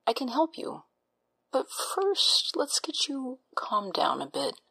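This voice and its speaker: A woman playing a doctor in an audio drama, breathy, soothing and clinical, with a patronizing, unsettling edge. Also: high-pitched, very animated, slow, bright, forceful tone, heavily acted, low-quality recording. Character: calm, smoothly reassuring doctor with an unsettling edge.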